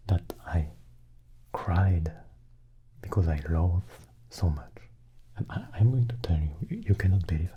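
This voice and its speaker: A man hosting an ASMR channel, mostly in a soft unvoiced whisper that drifts near and far from the microphone, chatting slowly and haltingly to his viewers. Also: deep voice, breathy edge. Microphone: close microphone.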